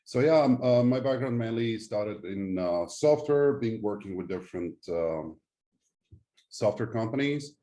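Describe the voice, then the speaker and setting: Low, mellow-voiced male founder, Syrian-born. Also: expressive. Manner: halting, non-native phrasing. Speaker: startup founder, guest. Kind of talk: conversational interview.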